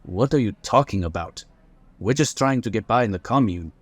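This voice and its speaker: A wary, hostile father guarding his son, played by a man, gruff and curt. His voice runs low and sounds dry and close, with no room around it. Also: animated, moderate pace, no room sound. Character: defensive, protective working-class father. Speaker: character in audio drama.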